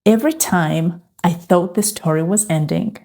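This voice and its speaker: A middle-aged woman from Uganda sharing a calm, reflective personal faith testimony. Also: lowish voice, moderate pace. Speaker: faith and lifestyle vlogger.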